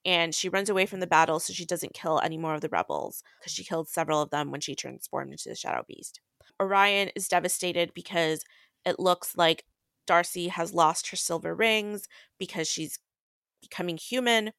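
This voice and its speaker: A woman's voice: fairly low pitch, moderate pace, creaky, a bit bright, no room sound, studio quality. A woman co-hosting a podcast, casually discussing and recapping books. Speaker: podcast co-host.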